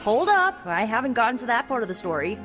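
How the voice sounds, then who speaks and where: A woman's voice: fairly high pitch, sing-song, fast talker, a bit bright. A woman playing an eager, stubborn young sorceress in a video game, talking in a casual, colloquial way. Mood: storytelling. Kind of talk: video game cutscene acting. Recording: long reverb, music behind. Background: music.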